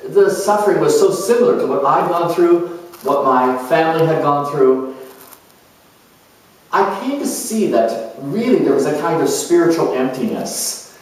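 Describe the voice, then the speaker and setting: A man playing an earnest, nurturing parent turned spiritual counselor in a dramatic monologue, speaking in halting, reflective phrases. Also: on the high side, animated. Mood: earnest, troubled. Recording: very echoey room, distant microphone.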